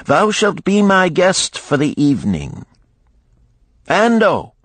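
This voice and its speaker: A man's voice: fairly high pitch, wildly swooping pitch, measured pace, a bit bright, no room sound, pitched above the performer's own voice, exaggerated voice. A man voicing a shrewd moneylender of ancient times in a storybook, with grand archaic phrasing and probing exclamations. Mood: grandly hospitable. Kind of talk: audiobook storytelling.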